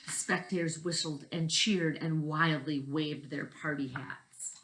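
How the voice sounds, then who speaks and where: A woman's voice: low-pitched, steady tone, measured pace, a bit bright, poor audio. A woman teacher reading a picture book aloud expressively, stressing key words and putting questions to young listeners. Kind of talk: storybook read-aloud with questions to children.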